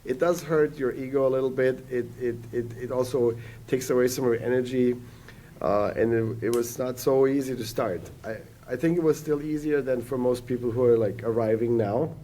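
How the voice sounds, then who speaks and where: Podcast guest, German-native man, 40s, mellow, halting and rambling. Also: mid-range voice, fairly even intonation, a little hiss or hum. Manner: rambling, many hesitant restarts and fillers. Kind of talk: casual podcast conversation.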